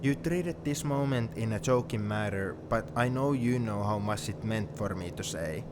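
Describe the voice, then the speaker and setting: A man's voice: on the low side, moderate pace, natural voice. A man playing a sentimental, teasing young friend heading to university, in an intimate one-sided ASMR roleplay. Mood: mock offended, teasing. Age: young adult. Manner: reflective, playfully defensive. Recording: steady car noise behind the voice.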